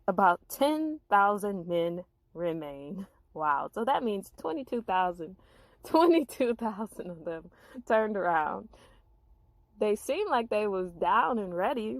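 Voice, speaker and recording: A woman telling a Bible story in a dramatized, expressive way, with informal, reacting asides. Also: mid-range voice, no room sound.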